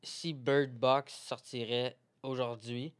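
A man's voice: medium pitch, flat delivery, slow. A young man with a French Canadian accent, chatting informally as a podcast co-host. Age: young adult. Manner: informal, conversational. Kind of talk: casual podcast chat.

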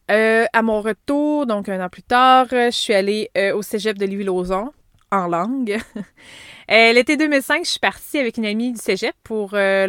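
A woman's voice: medium pitch, fairly bright tone, clear, resonant tone, dry recording, studio quality. A woman in her thirties with a Québécois accent, hosting a casual personal podcast, chatty and rambling with many asides and fillers. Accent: Québécois French. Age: 30s. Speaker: podcast host.

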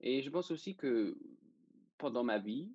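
A young man with a Danish accent, speaking casually and haltingly as he searches for words. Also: medium pitch, expressive, darkish tone, no room sound, lo-fi sound. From Denmark. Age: young adult. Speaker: interview guest, journalism student. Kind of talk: casual interview.

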